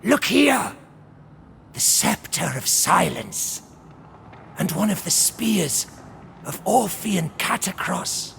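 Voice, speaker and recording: An actor voicing a greedy, zealous undead executioner in a fantasy video game, with a rasping, grandiose and fervent delivery. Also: male voice, high-pitched, very animated, takes it slowly, long pauses, fairly bright tone, slight background noise, full character voice. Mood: ominous, eager. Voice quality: very gravelly, rasping creak. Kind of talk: theatrical video game cutscene acting.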